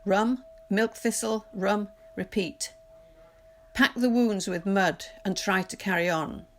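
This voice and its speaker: A woman reading poetry aloud in a measured, literary recitation, deliberately pausing phrase by phrase. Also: mid-range voice, slight background noise. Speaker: poetry reader. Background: music.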